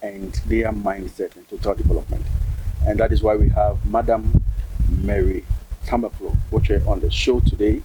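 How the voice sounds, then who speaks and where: Man, news host, lowish voice, measured, with clear noise behind. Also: level tone, highs cut off. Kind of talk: broadcast interviewing. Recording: noisy studio recording.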